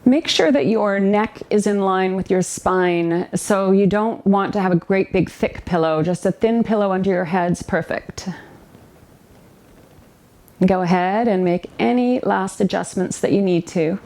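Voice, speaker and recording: A woman with a mid-pitched voice narrates a guided relaxation practice. She gives gentle, soothing instructions in the manner of a meditation teacher, leaving unhurried space between her phrases. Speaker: yoga nidra relaxation guide, therapist.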